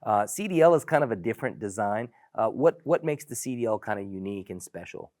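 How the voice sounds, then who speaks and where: A man's voice: on the low side, expressive, quick pace, a little mellow, no echo, clean studio sound. A man hosting a product discussion, leading it with enthusiasm. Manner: enthusiastic, leads the conversation. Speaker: host.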